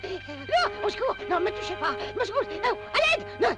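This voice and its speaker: A very high, squeaky little cartoon man, swooping wildly as he stammers and blusters. He is a cowardly royal servant in an old animated film, with loud orchestral-style music behind him. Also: normal speed, full character voice. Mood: panicked, indignant. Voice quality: shrill, squeaky. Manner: stammering, pleading, indignant bluster. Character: tiny excitable male Lilliputian royal servant, cowardly, blustering. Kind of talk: 1930s animated film dub.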